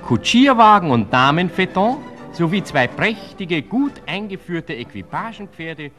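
A declamatory newspaper reader in an old radio play. The voice is forceful, fairly high and clipped, with music underneath. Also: male voice, sing-song, measured pace, heavily acted. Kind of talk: 1960s radio drama narration, read-out news.

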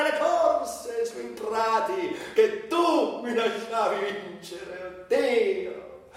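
An actor playing a bitter, sardonic, foul-mouthed sick man in a volatile theatrical monologue, with drawn-out words and breathy outbursts. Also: high-pitched. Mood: anguished longing. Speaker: stage actor performing a monologue. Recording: echoey hall, distant mic, faint noise.

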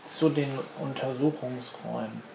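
A man improvising narration as a tabletop game master, with a halting, hesitant delivery. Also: medium pitch, fairly even intonation. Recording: echoey room with clear background noise.

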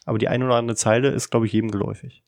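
Man from Berlin, playful joking co-host, mid pitch, dry close-mic sound. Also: level tone, racing, darkish tone, dry recording. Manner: playful, joking, asks questions. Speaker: podcast co-host. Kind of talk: casual chat.

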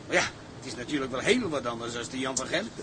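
A man's voice: mid-range voice, animated, fast talker, background noise, close to an everyday voice. A man playing a dry, unflappable ship's engineer in a radio drama, understated in manner. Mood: dry, reassuring. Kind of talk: Dutch radio drama acting.

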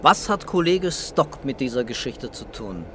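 An actor playing a composed police commissioner, terse and measured, in a radio crime drama. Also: male voice, mid-range voice, sing-song, bright, forceful tone, slight background noise. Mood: sober, matter-of-fact.

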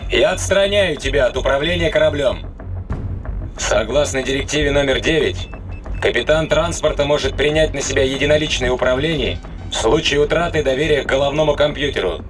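A man playing a gruff, defiant spaceship captain in an audio drama, blunt and commanding in the way he talks. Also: mid-range voice, a little fast, a bit bright, faint music behind, lightly acted. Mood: resolute.

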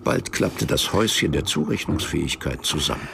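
A man narrating a literary radio drama in a measured, detached and deliberate manner. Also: rumbling low, lively intonation, vocal fry, noisy background, full character voice. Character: detached literary narrator of a drugged man's ordeal. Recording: music under the voice.

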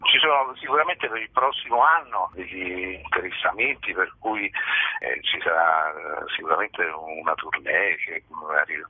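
A man speaks in a low, raspy voice over a thin, roomy phone connection. He answers as an interviewed actor, thoughtfully and haltingly, often searching for his words. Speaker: stage actor, interview guest. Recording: muffled roomy telephone line.

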